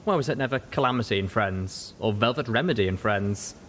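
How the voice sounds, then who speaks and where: A man's voice: lowish voice, expressive, brisk, a bit bright, a little hiss or hum. A man reading first-person fiction aloud as a scripted audiobook narration. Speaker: audiobook reader.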